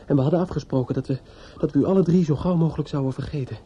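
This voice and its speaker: An actor in a radio play playing a distraught, bitter young man in grief, speaking haltingly with stammers and repetitions. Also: on the high side, warm tone, husky. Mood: bitter, shaken. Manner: halting, stammering, repeats himself. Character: distraught, bitter young bridegroom-to-be, grieving and obsessive. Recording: dull lo-fi radio play recording.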